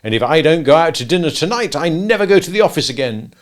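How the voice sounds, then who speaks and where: A man reading a storybook and voicing a pompous, melodramatic middle-aged father who rants loudly and sarcastically. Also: high voice, expressive, moderate pace, voice pushed up. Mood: stern. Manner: loud, sarcastic ranting.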